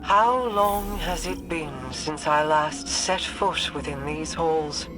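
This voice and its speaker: Regal queen, stately, slow, reflective, aloof, game dialogue, clear music bed. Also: deep voice, low-quality recording. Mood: solemn, resolved.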